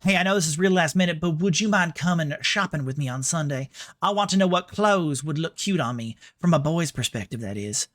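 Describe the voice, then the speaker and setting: A man's voice: fairly high pitch, animated, fairly quick, fairly bright tone, no echo, studio quality. Man putting on a feminine Southern schoolgirl, lovesick. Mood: shy. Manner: feminine, drawling. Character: lovesick, self-centred Southern schoolgirl reading her notes.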